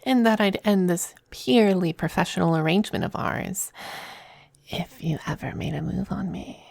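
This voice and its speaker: A performer acting a smug, affectionate demon femboy in an intimate ASMR roleplay, teasing and coy, with breathy turns into whispers. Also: mid-range voice, moderate pace, a little mellow, no room sound.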